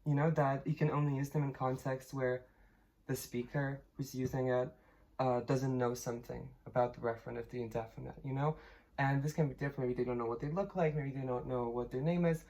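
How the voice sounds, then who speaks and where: A woman's voice: a bass voice, fairly even intonation, a little fast, warm tone, low-quality recording. A young woman from Poland, casually explaining her thesis with many fillers, restarts and laughs. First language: Polish. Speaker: linguistics student.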